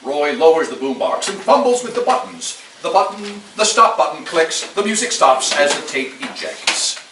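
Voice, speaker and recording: A man's voice: on the high side, animated, normal speed, fairly bright tone. A man narrating the stage directions of a screenplay at a live staged table read. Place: live staged reading at a party. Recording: echoey room, distant mic, clearly noisy.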